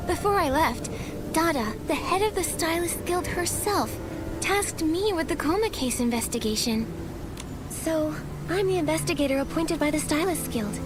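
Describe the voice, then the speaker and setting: A woman acting a determined, upbeat young stylist adventurer in a video game, earnest and confident. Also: high voice. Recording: music behind the voice.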